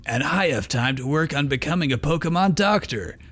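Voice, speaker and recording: A man voicing a calm, practical young man who placates and reasons with his group in a story reading. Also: fairly high pitch, fairly quick, pitched above the performer's own voice. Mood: calm, placating.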